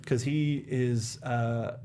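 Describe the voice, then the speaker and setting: A young man co-hosting a casual movie discussion, speaking in a halting, emphatic way. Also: mid-range voice, steady tone, mellow. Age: young adult. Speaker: podcast co-host. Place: small indoor talk-show studio. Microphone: studio microphone with red windscreen, headset monitoring. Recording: clear background noise.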